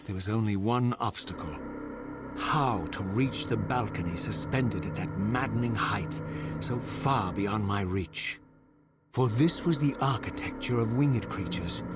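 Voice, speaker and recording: An actor playing a vengeful undead vampire wraith in a video game, narrating in a grave, formal, theatrical way with ornate diction. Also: male voice, lowish voice, a little slow, close to an everyday voice. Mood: brooding determination. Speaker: narrating protagonist. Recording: music under the voice. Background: loud music.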